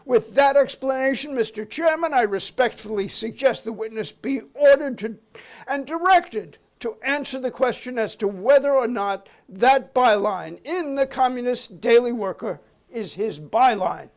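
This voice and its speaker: A man's voice: very high-pitched, lively intonation, a little slow, heavily acted. A man playing a formal, insistent committee counsel questioning a witness in a dramatic reading of a 1950s hearing.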